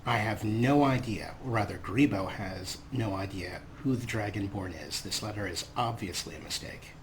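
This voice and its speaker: A man's voice: on the low side, moderate pace, a little hiss or hum. A man giving casual, explanatory let's play commentary in a chatty manner as the host of a gaming video.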